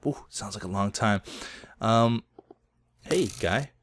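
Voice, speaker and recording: Guy in his 30s, kinda low voice, bit hoarse, chatty and laughing at himself while gaming. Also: slow pace, slight background noise. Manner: chatty storytelling, self-deprecating, laughs often. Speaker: gaming video host. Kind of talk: casual gameplay commentary.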